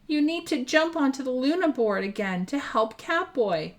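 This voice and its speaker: Woman putting on a high, emphatic girl hero. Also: animated, moderate pace. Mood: urgent. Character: brave young girl owl-costumed hero, urgent and encouraging. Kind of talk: children's storybook character reading.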